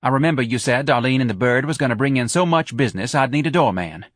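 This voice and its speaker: A man's voice: mid-range voice, quick pace, no echo, studio quality, pitched above the performer's own voice, natural voice. A man voicing a hard, scheming woman who runs a bar, curt and browbeating, in an audiobook. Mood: cold, scheming.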